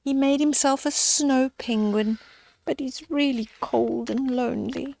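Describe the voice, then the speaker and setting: A woman's voice: sits high, steady tone, unhurried, creaky, darkish tone, slight background noise, rough recording. An older woman reads a children's story aloud, adding simple chatty asides for young listeners. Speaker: storyteller reading children's picture books aloud.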